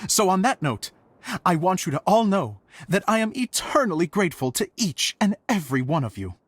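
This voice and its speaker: A man's voice: high-pitched, big pitch swings, a little fast, slightly rough, no echo, full character voice. A man playing a sentimental, theatrical show director in a game cutscene, speaking earnestly and expressively. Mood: nostalgic, grateful. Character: theatrical, sentimental grown male show director, grateful dreamer. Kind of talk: animated game cutscene acting.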